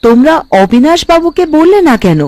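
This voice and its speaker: A woman's voice: sits high, very animated, fairly quick, mellow, no echo. A woman playing a blunt, anxious village wife in a cartoon, probing and exclamatory. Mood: anxious, questioning. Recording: light background music.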